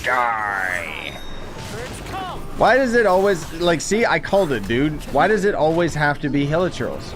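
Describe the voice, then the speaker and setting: A man's voice: a little high, big pitch swings, a little slow. Chatty, sarcastic young gamer man. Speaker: gaming commentator. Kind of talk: casual let's-play commentary.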